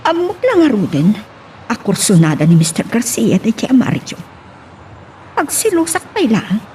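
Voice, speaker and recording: A woman's voice: a little high, huge pitch swings, normal speed, dark tone, a little hiss or hum. A woman playing a watchful informant in an audio drama, reporting on what she has seen.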